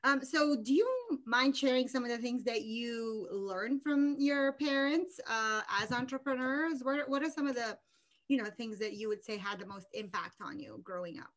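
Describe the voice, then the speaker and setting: A woman's voice: on the high side, moderate pace, fairly bright tone. A woman in her thirties hosting a casual interview over a video call, friendly and hesitant with many fillers, recorded on a laptop webcam at home. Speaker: host. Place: home room with wood ceiling.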